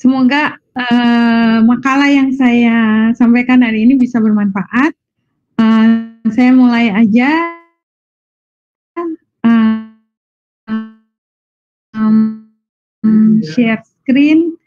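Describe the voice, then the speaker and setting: Slow-paced, higher-voiced Indonesian woman lecturing. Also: fairly even intonation, darkish tone, soft background music. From Malang, Indonesia. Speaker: guest lecturer, university professor. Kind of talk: informal, improvised online lecture. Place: indoors on a video call. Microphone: video call microphone. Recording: slightly dull video call audio.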